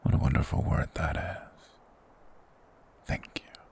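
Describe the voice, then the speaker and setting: A man playing a mysterious, courteous monster hermit of the woods in an ASMR fantasy roleplay, speaking in a formal, measured, breathy whisper. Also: natural voice. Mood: reassuring, coaxing. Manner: formal, slow, measured, softly persuasive.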